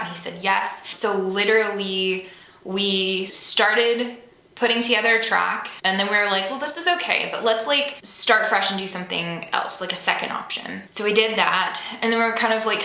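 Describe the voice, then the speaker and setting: Bright-voiced chatty young woman. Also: medium pitch, creaky, roomy sound, poor audio. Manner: chatty, frequent "like" fillers, self-deprecating. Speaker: singer-songwriter answering fan questions. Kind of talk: casual Q&A chat. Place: home room.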